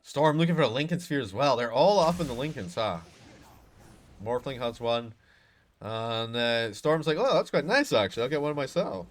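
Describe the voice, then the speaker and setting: Excitable Scottish esports caster. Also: male voice, mid-range voice, normal speed. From Scotland. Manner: excitable, rising loud during fights, chatty between. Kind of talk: solo live game commentary with chat asides.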